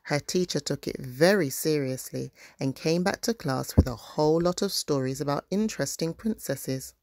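A woman narrates a children's storybook as a parent reading aloud. Her voice is low and the sound is dry and close. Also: normal speed, darkish tone, no room sound.

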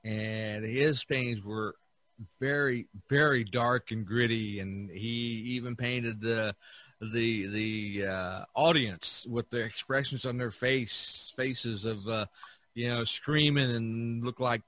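A man hosting a casual art discussion, halting and repeating words as he searches for them. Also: medium pitch, fairly even intonation, fairly bright tone, no echo. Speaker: podcast host.

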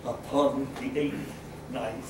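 Theatrical mad murderer acted by an older man, slow and roomy. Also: mid-range voice, steady tone, far from the mic, lightly acted. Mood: cunning, gleeful. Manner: theatrical, deliberate pauses, sudden outbursts. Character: mad, cunning, gleeful murderer confessing his crime. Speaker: stage actor. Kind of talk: live dramatic reading of a gothic horror monologue. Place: small brick-walled cafe with seated audience. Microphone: distant camera microphone. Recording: roomy, noisy recording from across the room.

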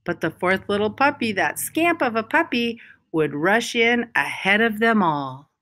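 A woman reading a children's picture book aloud as a storyteller, with deliberate, expressive phrasing and emphasized words. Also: medium pitch.

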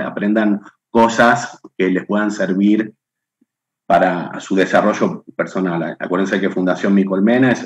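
A middle-aged Argentine man speaking into a webcam from a room at home, hosting a live online session. His voice sits fairly low, and he takes his time, chatting warmly as he greets viewers one by one by name. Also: lo-fi sound. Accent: Argentine Spanish. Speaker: webinar host. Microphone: webcam on video call.

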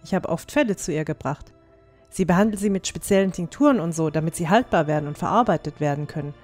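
A woman acts out a plucky young dwarf squire in a fantasy audio drama. Her voice sits fairly low, and she speaks in a teasing, down-to-earth way over faint music. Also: expressive, quick pace, a little mellow. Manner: down-to-earth, matter-of-fact, teasing.

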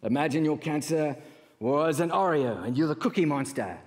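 A middle-aged man with a South African accent giving a stage talk, telling personal stories with pauses and repeated words. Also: on the high side. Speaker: conference speaker, creative entrepreneur. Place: large indoor auditorium stage. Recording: reverberant auditorium hall.

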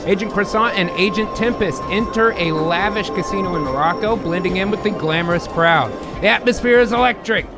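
A man with a high-pitched voice narrates and hosts a comic stream. He is casual and irreverent, close on the microphone, with clear music behind. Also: fairly quick, hardly any pauses. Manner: irreverent, profane. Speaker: host and narrator. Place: home room on a video call.

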